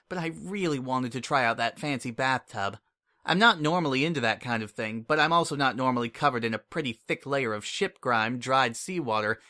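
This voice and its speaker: A man reading a fantasy adventure aloud, telling it in first person at an easy, conversational pace. His voice sits mid-range with a bright, forward tone. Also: medium pitch. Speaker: story reader. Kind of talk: dramatic audiobook narration.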